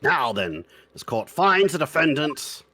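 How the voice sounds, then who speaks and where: A man's voice: on the high side, very animated, measured pace, sharp tone, slight background noise, full character voice. A man voicing a pompous, ponderous and befuddled old judge in a live fan dub of a courtroom game. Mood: solemn. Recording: game music behind the voice.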